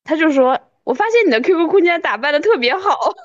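A woman's voice: high-pitched, lively intonation, quick pace, no echo. Woman in her 30s, dry dull-sounding mic, chatty podcast host looking back, pokes fun at herself. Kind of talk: casual reminiscing chat.

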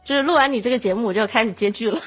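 A woman's voice: fairly high pitch, very fast, slightly rough, soft background music. A woman appearing as a podcast guest, chatting casually with joking, mock-boastful delivery.

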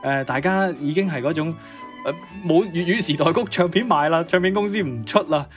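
A man's voice: a little high, fairly quick. A man co-hosting a radio programme in casual, conversational chat. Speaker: radio co-host. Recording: faint music behind the voice. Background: music.

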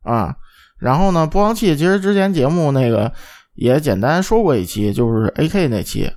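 A man's voice: on the high side, expressive, normal speed, a little mellow. A man hosting a casual panel chat in a colloquial, jokey way, often checking in with tag questions. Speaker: podcast host.

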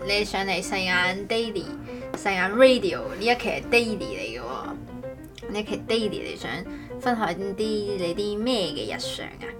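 A woman's voice: mid-range voice, expressive, normal speed, music in the background. Playful Cantonese woman podcast host. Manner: teasing, playful. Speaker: host of a daily chat podcast. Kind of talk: casual banter.

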